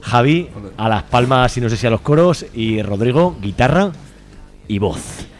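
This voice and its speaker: A man's voice: mid-range voice, animated, unhurried, faint music behind. A man hosting a casual radio interview, chatty and upbeat as he asks questions. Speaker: radio host. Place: radio studio.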